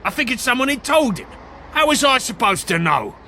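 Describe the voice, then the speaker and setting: An actor playing a cagey, wisecracking hired investigator in a noir video game, with a slangy, streetwise manner. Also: male voice, very high voice, sing-song, fairly quick, creaky, pushed and bright, slight background noise, full character voice. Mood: defensive, agitated.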